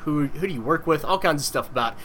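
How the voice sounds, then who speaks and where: A man's voice: on the high side, animated, fast, fairly bright tone, a little hiss or hum. A man hosting a podcast, reading the intro and then interviewing a guest in a friendly, joking way. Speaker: podcast host.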